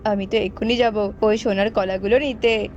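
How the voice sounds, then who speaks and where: Greedy cartoon bird villain, done by a woman: pitched down, demanding, menacing, dull audio. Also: fairly even intonation, moderate pace, slight background noise, lightly acted. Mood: envious. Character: greedy bullying forest bird, envious and threatening. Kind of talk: children's cartoon voice acting.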